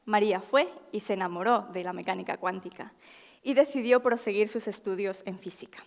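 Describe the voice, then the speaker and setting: A young woman scientist giving an earnest public talk, with frequent filler pauses. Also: medium pitch, a little mellow. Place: lecture hall. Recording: reverberant hall.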